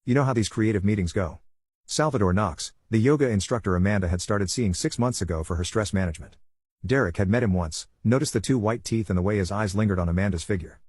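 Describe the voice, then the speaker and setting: A man's voice: fairly low pitch, fast talker, darkish tone, husky, no echo, clean studio sound. A man reading a scripted dramatic story as its narrator.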